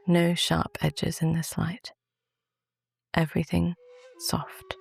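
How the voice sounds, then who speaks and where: A woman with a British accent gently narrates a guided sleep meditation in a soft, calm, soothing voice. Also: on the low side, slow, gravelly.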